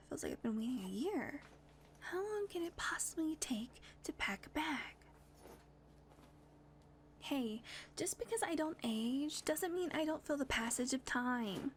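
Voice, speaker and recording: A sardonic, ageless female vampire, played by a woman in an intimate audio drama. Her voice sits high, and she teases in a dry, blunt way, often dropping into soft whispers. A faint hiss of background noise runs underneath. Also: lively intonation, a little slow. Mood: teasing. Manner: dry teasing with frequent soft whispered asides. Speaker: audio roleplay performer. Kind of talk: intimate audio roleplay acting.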